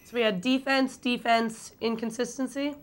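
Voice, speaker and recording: A woman's voice: a little high, fairly even intonation, moderate pace. A young woman presenting a student sports chat show as its host. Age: young adult.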